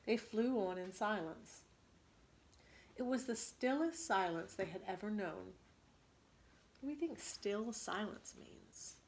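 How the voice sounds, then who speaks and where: A woman teacher reading a story aloud expressively to students, pausing to ask them questions. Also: mid-range voice, lively intonation, slight background noise.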